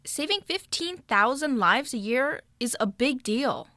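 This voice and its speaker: A woman plays an upbeat girl who runs her club with confidence in a children's story. Her youthful voice sits high and has a bright, forward edge. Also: lively intonation, a little slow, no echo, studio quality, full character voice. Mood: take-charge. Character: Riley, upbeat take-charge girl leading a club. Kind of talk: scripted children's audio story.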